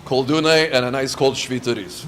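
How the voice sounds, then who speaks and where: A man's voice: medium pitch, moderate pace, a bit bright, soft background music. A man from Southern California whose first language is Lithuanian, answering interview questions about a scout camp in an eager, casual way. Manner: eager, with restarts and light laughs.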